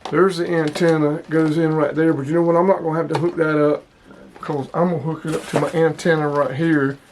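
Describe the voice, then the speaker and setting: A man with a voice pitched a little above average talks through an unboxing. He is informal and thinks aloud as he handles things, like a casual vlogger. There is a light layer of noise behind him. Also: flat delivery, brisk, darkish tone. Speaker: vlogger unboxing radio gear. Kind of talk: casual hands-on unboxing commentary.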